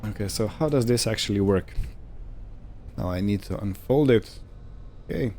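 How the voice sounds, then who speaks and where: A man giving casual let's-play commentary on a video game, musing to himself in an unhurried way. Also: on the low side, warm tone, slight background noise. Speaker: gamer narrating own farming simulator playthrough.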